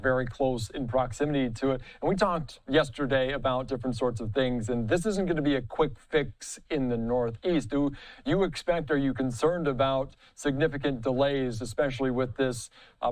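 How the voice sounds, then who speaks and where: A man's voice: mid-range voice, darkish tone, dry recording, poor audio. A man anchoring a live news interview, acknowledging points conversationally and then asking questions.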